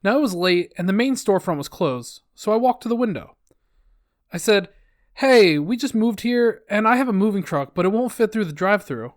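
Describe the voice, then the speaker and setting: A man's voice: sits high, animated, moderate pace, dry recording, clean studio sound. A man reading online posts aloud, adding wry, sarcastic comments between them.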